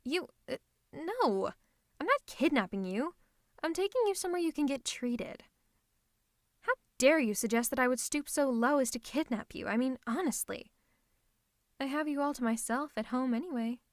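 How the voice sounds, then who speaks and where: A woman's voice: sits high, lively intonation, normal speed, no room sound, clean studio sound. A woman voicing a theatrical, possessive female supervillain who is secretly a tender lover, in a scripted ASMR roleplay with a dramatic, haughty, teasing manner. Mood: indignant, fussing. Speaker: ASMR voice performer.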